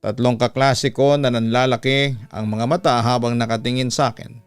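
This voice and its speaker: Male Filipino radio storyteller for scripted narration; slightly low, resonant voice. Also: steady tone, moderate pace, clean tone, no echo. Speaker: radio host reading listener letters. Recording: music behind the voice. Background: background music.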